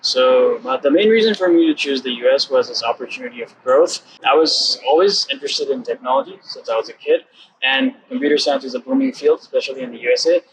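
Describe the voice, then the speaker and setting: A man's voice: mid-range voice, very animated, quick pace, background noise. A man in his twenties from Nepal with a Nepali accent, answering questions casually as an interview guest. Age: 20s. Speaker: interview guest, program alumnus.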